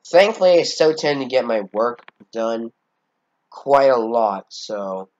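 A congested teenage boy talks through a channel update in a rambling, hesitant way, dropping casual swears between fillers. His voice sits at an ordinary middle pitch. The sound is dull and lo-fi, like a cheap home mic. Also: animated, slow pace. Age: teens. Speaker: channel owner.

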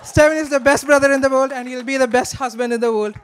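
A man in a notably high, smooth voice. He delivers a best man's toast at a wedding reception, reading from notes into a handheld mic. His delivery is teasing and fond, with a somewhat halting flow. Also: steady tone, fairly bright tone, a faint crowd. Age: 20s. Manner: joking, affectionate, halting delivery. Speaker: best man, groom's brother. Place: wedding reception in a large draped marquee. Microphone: handheld microphone.

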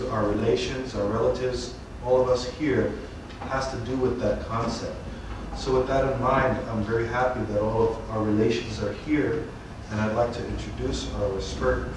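A young man serving as master of ceremonies, opening an evening panel in an earnest, relaxed way with many fillers. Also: mid-range voice. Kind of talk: event introduction. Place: lecture hall panel table. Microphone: table mic on stand. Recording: echoey room, distant mic, background hiss.

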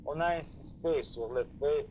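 A middle-aged man, a native Italian speaker, giving a philosophy lecture in short chunks with pauses for an interpreter, gesturing as he stresses key words. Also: on the high side, a loud crowd, low-quality recording. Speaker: visiting lecturer. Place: lecture room with wooden wall.

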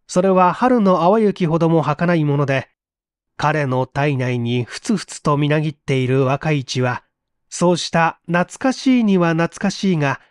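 A man reading a literary mystery story aloud in an expressive, theatrical storytelling manner. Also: a little high, no echo, clean studio sound. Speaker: reader of audio stories.

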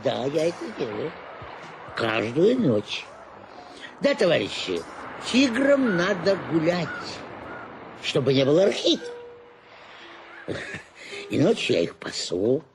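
A meekly solemn, self-pitying fake tiger tamer in a radio drama. His fairly high voice moves haltingly with big swings of pitch. Music is clearly heard behind it. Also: wildly swooping pitch, heavily acted, low-quality recording. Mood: solemn, confessing. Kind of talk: Russian radio play acting.

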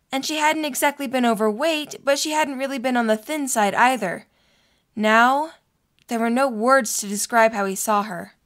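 A woman's voice: high-pitched, fairly bright tone. A woman reading scripted narration for a story.

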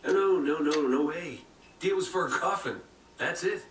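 A man's voice: fairly high pitch, moderate pace, distant mic, a little hiss or hum, natural voice. An actor playing a cocky, wisecracking male treasure hunter in a video game, with a wry, casual manner. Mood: guarded. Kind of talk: cinematic video game acting. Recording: roomy game audio under loud music.

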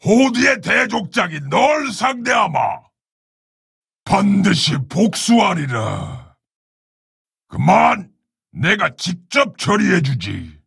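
A man playing a proud, solemn orc warchief in a video game, gravelly and husky, speaking in a commanding, stately, formal way. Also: high-pitched, very animated, slow pace, a bit bright, dry recording, shouting, clean studio sound, exaggerated voice. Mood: fierce, commanding. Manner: commanding, stately, old-fashioned formal speech. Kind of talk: video game hero voice lines.

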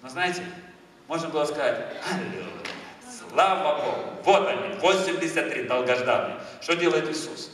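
Male preacher, 30s, Moldovan accent, high and bright, in a big echoey church hall, heard from a distance. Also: lively intonation, moderate pace. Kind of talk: lively charismatic sermon. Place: large church hall with a stage.

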